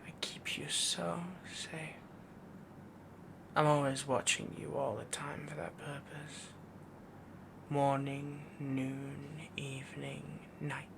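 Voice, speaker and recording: Male actor as possessive young werewolf boyfriend, soft half-whisper, gravelly fry, subdued even pitch, cooing and dominant, intimate audio roleplay. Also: slow pace, background noise. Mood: tender, possessive, then obsessive, adoring. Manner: rambling, dominant, cooing endearments.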